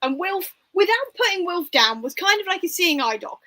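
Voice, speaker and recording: A woman's voice: very high-pitched, very animated, normal speed, bright, forceful tone. A woman hosting a casual book discussion, speaking informally and giving her opinions with plenty of hedging. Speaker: book discussion livestream host.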